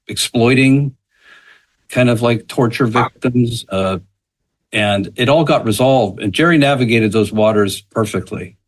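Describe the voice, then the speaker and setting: A man casually recollecting as a podcast guest, halting often as he searches for words. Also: fairly low pitch, breathy edge.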